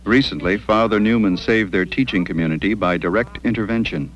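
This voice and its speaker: An actor playing a sober, courteous documentary reporter, delivering scripted narration and interview questions. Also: male voice, fairly low pitch, brisk, lightly acted, poor audio. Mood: sober, inquiring.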